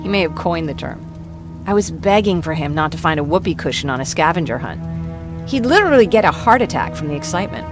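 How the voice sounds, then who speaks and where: A woman's voice: low-pitched, lively intonation, fast talker, over music. A woman playing a talkative, protective young war survivor in a video game, wry and self-deprecating in natural dialogue. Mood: chatty, fond.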